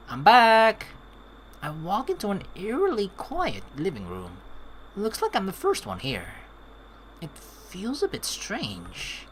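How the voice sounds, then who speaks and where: Casting: young female let's player, lower-pitched, hugely varied intonation, playful read-aloud and chatter. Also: slight background noise. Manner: playful, expressive. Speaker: let's play host. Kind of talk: reading game text aloud with casual commentary. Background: game music.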